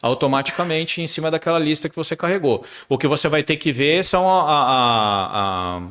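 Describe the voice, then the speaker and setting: A middle-aged man sits in as a guest on a casual business podcast, his voice sitting a little high for a man. He pushes through his points quickly and often stalls on repeated words before going on. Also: animated, a bit bright. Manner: repeats words while searching, fast business talk.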